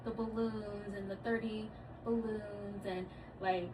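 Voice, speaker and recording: A woman's voice: mid-range voice, slow pace. A woman of thirty chatting casually and thoughtfully, with plenty of fillers. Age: 30s. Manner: relaxed, thoughtful, speaks with many fillers. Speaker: guest, daughter. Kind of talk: casual family chat. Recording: distant mic with clear background noise.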